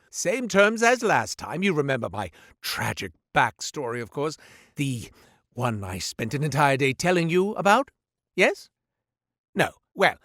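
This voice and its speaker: A man voicing a flamboyant, sly male goat-man merchant in a video game, with grandiose sales patter, deadpan asides and booming swings in pitch. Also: no room sound, clean studio sound, full character voice. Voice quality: wildly swinging pitch, booming then muttering. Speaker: shopkeeper character. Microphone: dry studio mic.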